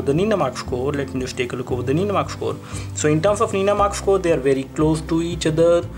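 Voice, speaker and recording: A man giving an unscripted product comparison review, explaining and thinking aloud in a repetitive way as he demonstrates. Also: fairly high pitch. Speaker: tech reviewer and presenter.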